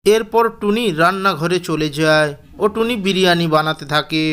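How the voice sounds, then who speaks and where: A male narrator with a high-pitched, very clean and smooth voice. He reads a scripted storybook tale for a cartoon. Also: normal speed, fairly bright tone.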